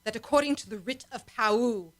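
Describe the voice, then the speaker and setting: A woman playing a scheming, self-assured high priestess in an amateur radio play, speaking formally and insistently. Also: normal speed, fairly bright tone, lightly acted.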